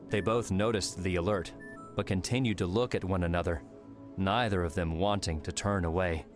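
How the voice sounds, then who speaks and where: A man narrates fiction in a very deep voice. It is a scripted audiobook reading, with soft music behind him.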